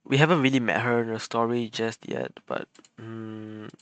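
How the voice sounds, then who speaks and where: A man live-streaming a video game, chatting casually and thinking aloud in a halting way. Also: on the low side, fairly even intonation, a bit bright, smooth, dry recording. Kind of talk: casual live-stream chat while gaming. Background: faint game music.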